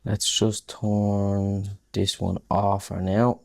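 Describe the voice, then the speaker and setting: A man's voice: lowish voice, flat delivery, drawn-out words, creaky, darkish tone, no room sound, clean studio sound. A man talking casually through a music production tutorial, thinking aloud as he works. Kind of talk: informal screen-recorded tutorial.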